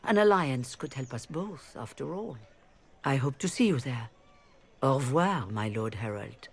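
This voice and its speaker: Proud rebel mage leader. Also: female voice, rumbling low, expressive, measured pace, slightly rough, heavily acted. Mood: resolved, inviting. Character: proud, diplomatic elven woman leading rebel mages. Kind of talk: video game dialogue.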